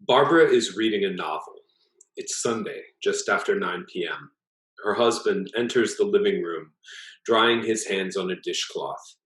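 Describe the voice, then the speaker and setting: A man reading his own short story aloud as an author, in a measured, expressive narrating manner. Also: fairly low pitch, echoey room, poor audio.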